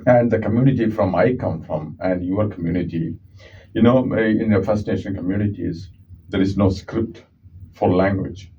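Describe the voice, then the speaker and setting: Earnest low-voiced man with a Kerala accent. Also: normal speed, recorded from a distance, dull audio, a little hiss or hum. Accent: South Indian, Kerala. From Kerala, southern India. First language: Malayalam. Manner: earnest, explanatory, many uh and okay fillers. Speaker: audiologist guest. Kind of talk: radio studio interview. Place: radio studio.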